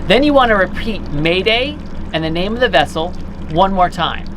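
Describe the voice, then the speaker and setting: A man's voice: high-pitched, animated, normal speed, noisy background. A man in his thirties explaining procedures methodically, step by step, as an instructor recorded outdoors by the water. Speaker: boat club operations director giving instruction. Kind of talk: instructional demonstration. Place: outdoors by the water, at a dock or on a boat.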